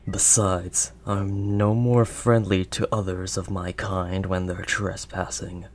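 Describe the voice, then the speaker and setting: A man voicing a gruff but kindly werewolf of the wild woods in an intimate audio roleplay, with a halting, gently protective manner. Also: low-pitched, level tone, slight background noise. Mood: wary. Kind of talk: audio roleplay, intimate second-person acting.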